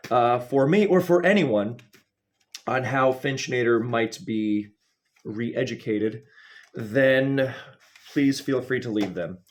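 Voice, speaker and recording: A man hosting a casual, rambling comedic video, speaking in a halting, digressive way with wry, mock-serious grand phrasing. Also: medium pitch. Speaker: video host.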